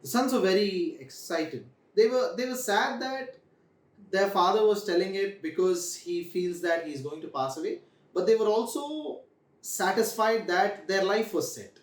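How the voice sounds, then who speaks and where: A young man, telling a story to children in a deliberate way, repeating phrases for emphasis. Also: high voice, animated. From India. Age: young adult. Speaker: storyteller host. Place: small room indoors. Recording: echoey room, distant microphone.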